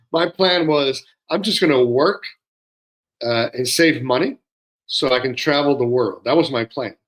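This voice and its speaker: Older man who grew up in Peru, co-host, thoughtful pacing with many pauses, mid-pitched voice. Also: fairly bright tone. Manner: measured, pausing often with fillers. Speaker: podcast co-host. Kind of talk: reflective conversational monologue.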